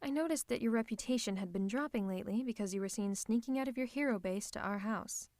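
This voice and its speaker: Woman acting as female supervillain, higher voice, haughty, teasing, possessive, secretly loving, dramatic ASMR roleplay, dry close sound. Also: fast, darkish tone, no room sound, close to an everyday voice. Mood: fond grumbling. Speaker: ASMR voice performer.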